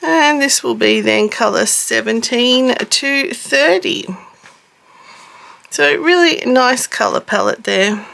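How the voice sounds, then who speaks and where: Slow-talking husky middle-aged woman. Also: fairly high pitch, a bit bright. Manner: chatty and self-deprecating, with light laughs. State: husky, rough voice from a cough. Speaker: cross-stitch vlogger host. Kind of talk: casual unboxing chat.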